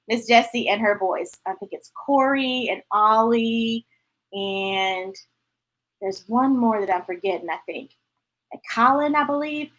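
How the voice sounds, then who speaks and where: A woman's voice: fairly high pitch, a bit bright, rough recording. A woman reading picture books aloud to children, playful and chatty, breaking into sing-song and sound effects. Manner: chatty, talking directly to young listeners. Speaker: children's story read-aloud host. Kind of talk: animated picture-book read-aloud with chatty asides.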